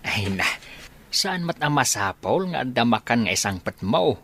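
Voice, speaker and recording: An actor playing a hospitable, teasing middle-aged father in a radio drama, with an Ilocano accent and a husky voice. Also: mid-range voice, expressive, moderate pace, a bit bright. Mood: welcoming.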